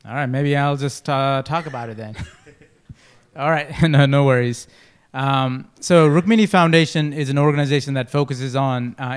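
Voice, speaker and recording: A man from Nepal pitches for a non-profit in an informal, hesitant manner. His voice is smooth and clear, at a medium pitch. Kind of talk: informal spoken presentation.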